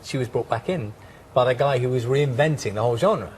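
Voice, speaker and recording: A man's voice: medium pitch, fairly quick, crowd noise. A man conducting a conversational interview, chatty and often ending statements with tag questions.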